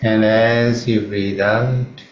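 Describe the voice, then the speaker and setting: A man with an Indian accent leads a guided meditation in a calm, measured manner, leaving long pauses between phrases. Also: fairly low pitch. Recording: roomy, slightly muffled, faint background noise.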